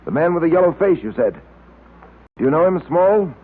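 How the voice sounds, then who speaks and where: An actor playing a firm, suspicious detective in a vintage radio drama, with a stern, insistent, clipped way of questioning. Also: male voice, fairly high pitch, sing-song, normal speed, slightly rough. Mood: stern, pressing. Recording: old tinny noisy radio recording.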